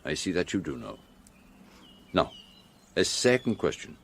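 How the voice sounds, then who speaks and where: An actor playing a shrewd, courteous detective with a French accent in a radio drama, speaking in a measured, theatrical way. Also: male voice, low-pitched, very animated, creaky, fairly bright tone, slight background noise, exaggerated voice. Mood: brisk, reassuring. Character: shrewd, composed Belgian male detective, wryly courteous.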